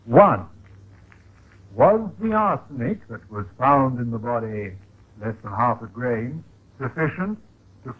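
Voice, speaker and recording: A ponderous old male judge addresses a jury with weighty, halting pauses. His delivery is very slow and swings widely in pitch, in 1950s radio style. The sound is thin and tinny, like a narrow phone line. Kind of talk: 1950s radio drama acting.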